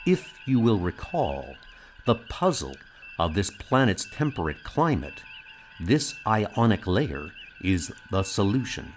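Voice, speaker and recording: A man voicing a calm, logical alien science officer who speaks in a formal, precise, detached way. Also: on the low side, slow pace, a little hiss or hum.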